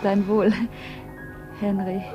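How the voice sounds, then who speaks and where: An actress playing a young variety dancer in love, soft, tender and yearning, in an intimate German radio play. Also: female voice, mid-range voice, level tone, takes it slowly, dull audio, natural voice. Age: young adult. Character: dreamy, yearning 22-year-old variety-show snake dancer. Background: music.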